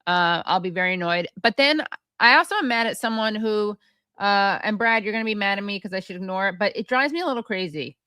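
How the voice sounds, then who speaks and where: A woman hosting a live-streamed interview show, talking briskly and a bit scattered as she juggles her producer and her viewers. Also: medium pitch, lively intonation, bright, forceful tone, clean tone, dry recording. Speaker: show host.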